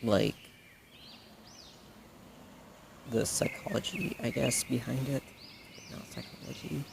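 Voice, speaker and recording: A man's voice: medium pitch, fairly even intonation, moderate pace, creaky. A young man playing a supportive best friend in an audio roleplay, talking casually and hesitantly in rambling, trailing phrases, on a noisy recording. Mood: incredulous. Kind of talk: audio roleplay addressed to the listener, casual improvised chat.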